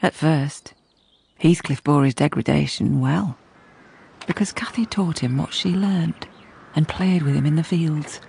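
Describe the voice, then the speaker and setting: A woman playing a sensible servant who narrates a radio period drama in a measured, confiding way. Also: low voice, vocal fry, mellow, husky, exaggerated voice. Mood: reflective. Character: sensible female servant, calm storyteller looking back.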